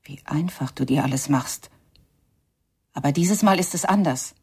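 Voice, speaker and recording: A woman's voice: sits low, normal speed, a little mellow, breathy edge. A woman playing a reproachful, determined figure in a radio crime drama, insistent and accusing.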